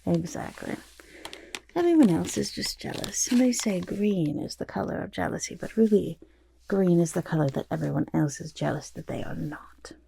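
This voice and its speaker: A woman playing a vain, fussy goblin portrait artist in an ASMR roleplay, with a raspy half-whisper and pompous, flattering, hesitant talk. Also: fairly low pitch, normal speed, slight background noise. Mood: smug boasting. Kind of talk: ASMR roleplay, soft personal attention.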